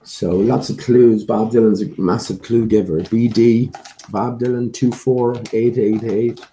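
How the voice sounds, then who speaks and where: A slightly hoarse man talking casually and hesitantly on a livestream, musing as he rambles along. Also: medium pitch, steady tone, measured pace, mellow, a little hiss or hum. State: slightly hoarse, occasional coughing. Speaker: livestream host and amateur musician.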